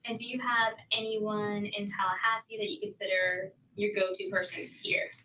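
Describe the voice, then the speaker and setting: A woman asking informal, gentle questions in a recorded interview. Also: medium pitch, flat delivery, normal speed, slight background noise. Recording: distant interview room audio.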